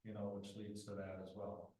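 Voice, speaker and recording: Loud-voiced marshal, far off in an echoey room. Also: male voice, low-pitched, little pitch movement. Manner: plain, halting, many uh fillers. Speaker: village marshal. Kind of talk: report at a committee meeting. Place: village committee meeting room.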